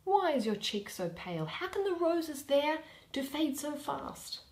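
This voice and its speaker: A young woman giving an expressive dramatic reading of a Shakespeare play, with theatrical, deliberate phrasing and pauses. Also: high voice. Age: young adult. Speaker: reader.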